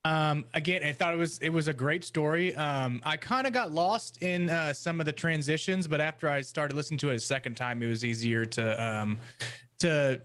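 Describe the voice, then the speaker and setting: A man on a podcast panel giving a casual review in a halting way, pausing often. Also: on the high side, a bit bright.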